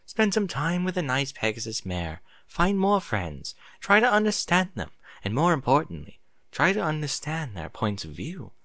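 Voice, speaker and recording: A motherly mare of a teacher, acted out by a man for a storybook reading. The speech is measured and soothing, with a firm core and a lively, swinging melody. The recording is dry and close. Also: on the high side, no room sound, heavily acted. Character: Celestia, gentle but firm motherly female pony teacher.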